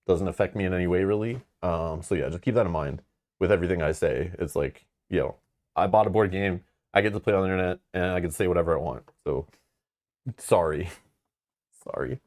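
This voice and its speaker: Man with a very low voice, casual stream host, rambly, frank, lots of fillers. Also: brisk, a little mellow. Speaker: board game channel host. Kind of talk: casual live-stream chat.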